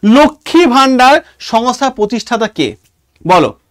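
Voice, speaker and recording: Very high-pitched Bengali male teacher. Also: sing-song, moderate pace, no echo, clean studio sound. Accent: Indian Bengali. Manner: emphatic, repeats key phrases and questions; checks in with students often. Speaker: history teacher for exam preparation. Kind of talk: lively exam coaching lecture.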